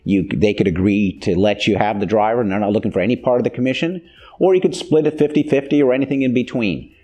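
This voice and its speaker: American man, 50s, lowish pitch, warm dark tone, plain-spoken instructional delivery, training presentation. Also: fast talker. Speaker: training video host. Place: indoor video studio.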